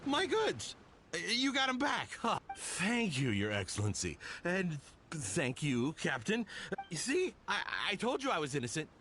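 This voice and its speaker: A man's voice: high-pitched, wildly swooping pitch, unhurried, fairly bright tone, a little hiss or hum, full character voice. A man voicing a blustering, cowardly, cheeky merchant in a video game, with a whiny manner. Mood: grateful, flustered.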